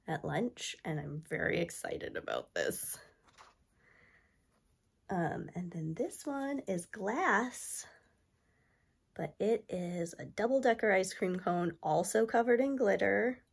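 A woman in her thirties talks straight to the camera in a room lined with toys. Her nose is stuffy from allergies, and her somewhat low voice carries some vocal fry. She rambles eagerly, full of ums and little laughs. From New York State. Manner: chatty, enthusiastic, many ums. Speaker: thrift haul vlogger. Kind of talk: casual chat to camera. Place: indoor room with toy shelves.

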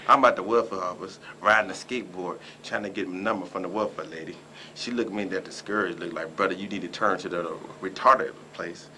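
A man's voice: deep voice, steady tone, brisk, bright, forceful tone, a little hiss or hum. A man in his forties from Dayton, Ohio, doing a rambling, boastful comic rant on a local talk show. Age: 40s. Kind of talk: comic monologue and banter. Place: small room indoors.

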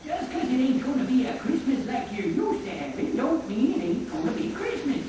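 A very echoey, distant and noisy recording of a folksy old cowboy storyteller acted out by a puppeteer in a puppet show. The drawl is rustic and the high-set voice swings up and down. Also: very animated, moderate pace, mellow. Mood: folksy, reassuring. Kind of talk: amateur puppet show acting. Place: puppet show in a hall, far camera.

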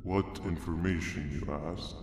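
A man playing a pompous, menacing, coldly calculating monster villain in a video game, with a deliberate delivery. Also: extremely low pitch, fairly even intonation. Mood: smug, calculating. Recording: echoey hall with long reverb, noisy.

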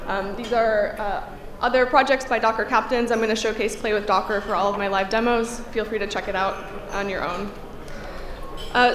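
A woman's voice: a little high, fairly bright tone. A woman in her thirties giving a technical conference talk at a podium, a little congested from a cold, speaking conversationally with frequent fillers. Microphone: podium microphone. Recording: echoey hall, faint room hum.

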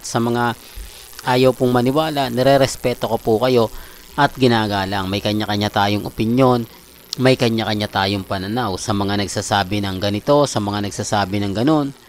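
A man with a Filipino accent hosting a fan channel, chatting casually through celebrity updates and often checking that listeners agree. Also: mid-range voice. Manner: conversational, repeatedly seeking agreement with tag questions.

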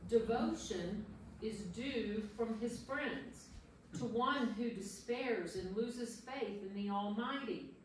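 A woman's voice: a little high, slow pace. A woman playing an anguished, despairing sufferer who cries out against God in a solemn dramatic scripture reading. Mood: anguished pleading. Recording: echoey room from far off, faint noise.

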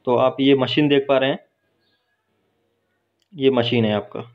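A man's voice: mid-range voice, fairly even intonation, a little slow. A man teaching welding in a workshop, explaining step by step and often checking in with his viewers. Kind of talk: hands-on technical explanation. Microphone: phone held while filming. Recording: dull lo-fi phone recording.

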